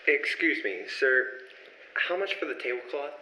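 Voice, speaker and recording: A man's voice: mid-range voice, animated, moderate pace, bright, forceful tone. A man playing a kind, earnest young pastor in an old-time radio play, polite and a little hesitant. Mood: flustered, polite. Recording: roomy, dull radio-play recording, faint noise.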